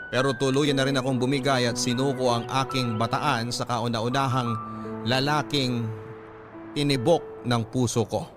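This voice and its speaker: A Filipino man tells a love story in the manner of a radio host reading a letter. His voice is medium in pitch, with measured, dramatic phrasing and pauses. Loud music plays behind him throughout. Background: prominent music.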